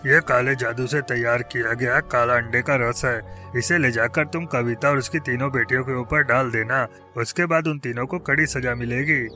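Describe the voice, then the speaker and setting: A man's voice: mid-range voice, fairly even intonation, normal speed, hardly any pauses, pushed and bright, soft background music, close to an everyday voice. A man voicing a sinister, piercing sorcerer. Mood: sinister assurance.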